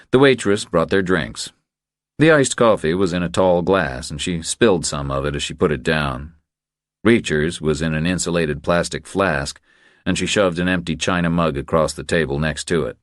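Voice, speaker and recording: Really deep guy reading a novel, measured storytelling, totally dry recording. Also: no room sound. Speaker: audiobook narrator. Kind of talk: scripted audiobook narration.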